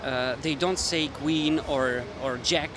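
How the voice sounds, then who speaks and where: A man's voice: on the high side, takes it slowly. A man with a Hungarian accent, a mentalist explaining his card tricks casually to the camera while thinking aloud. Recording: street noise with passing traffic.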